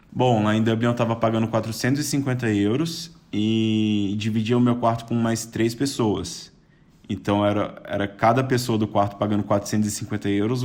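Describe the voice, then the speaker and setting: A man's voice: lowish voice, a little mellow. A young Brazilian man as a podcast guest, chatting casually with lots of restarts and the odd laugh. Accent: Brazilian Portuguese.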